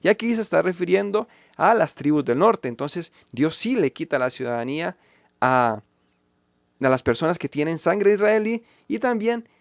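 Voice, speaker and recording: A man with a somewhat high, gravelly voice delivers scripted commentary on Bible passages. He reads the verses slowly and with emphasis. The sound is dry and close, with no room around it. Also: no room sound. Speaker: channel host refuting a pastor.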